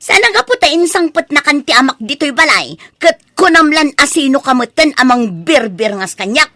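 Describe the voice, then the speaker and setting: A woman's voice: high voice, sing-song, normal speed, pushed and bright, no echo, studio quality, exaggerated voice. A woman playing a stubborn, whining, jealous grown daughter in a comedy audio drama, speaking emphatically with an Ilocano accent. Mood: indignant. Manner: emphatic, complaining, indignant.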